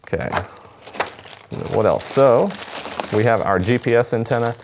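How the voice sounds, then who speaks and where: A man's voice: mid-range voice, unhurried, background noise. A man, giving a casual unboxing demonstration as a product presenter. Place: indoor studio at a table.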